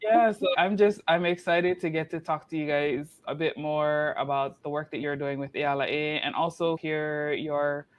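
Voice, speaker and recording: A man hosts a podcast panel, chatty and upbeat as he brings his guests on. His voice sits a little high. He mixes Hawaiian words into his English. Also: little pitch movement, moderate pace, few pauses. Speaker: podcast host.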